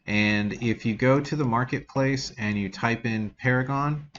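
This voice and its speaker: A man giving a casual software tutorial as an instructor, explaining in an informal, rambling way. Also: mid-range voice, a little slow. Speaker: game development instructor. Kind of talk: casual screen-recorded software tutorial walkthrough. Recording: dull lo-fi mic with background noise.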